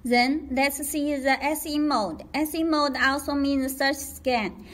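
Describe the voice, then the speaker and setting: A woman reading a scripted product tutorial in a careful, deliberate way as a demonstrator. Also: sits high, fairly even intonation.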